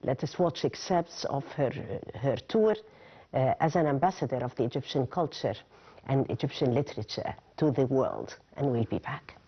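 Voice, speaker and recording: A woman TV host asking formal questions, often pausing to search for words. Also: very deep voice, husky, muffled recording. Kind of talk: TV studio interview.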